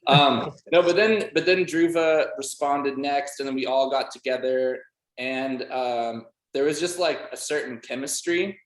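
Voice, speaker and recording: A man's voice: mid-range voice, measured pace. Casual man in an echoey room. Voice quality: casual, filler-heavy speech with frequent "like". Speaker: band member and music producer being interviewed. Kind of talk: casual conversational interview answers. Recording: roomy, echoey sound.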